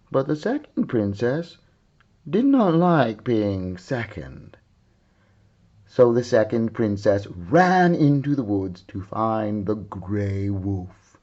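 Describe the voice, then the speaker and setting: A man reading a children's story aloud in a dramatic, deliberate, theatrical way. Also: on the low side, darkish tone, muffled recording. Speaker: storyteller, art teacher.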